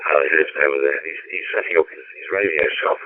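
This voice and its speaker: A man's voice: extremely low pitch, fast, a little hiss or hum. A British man chatting casually and at rambling length as an amateur radio operator. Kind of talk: casual chat over ham radio. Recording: tinny narrowband radio, distant-sounding.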